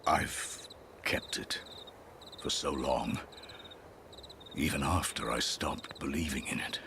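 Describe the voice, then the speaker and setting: An actor playing a grave, weary man entrusted with an old secret in a game, speaking solemnly and deliberately. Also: extremely low pitch, noisy background, full character voice.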